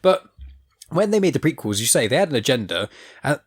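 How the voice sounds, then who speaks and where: A male podcast host in casual conversation, voice sitting at an ordinary middle pitch. He rambles through his opinions, circling back and restarting sentences, and drops swear words without a second thought. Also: big pitch swings, brisk. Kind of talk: casual chat.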